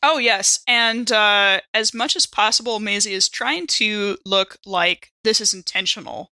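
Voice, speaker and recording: A woman's voice: medium pitch, unhurried, bright, forceful tone, no echo. A woman improvising casually as a player in a horror roleplaying game.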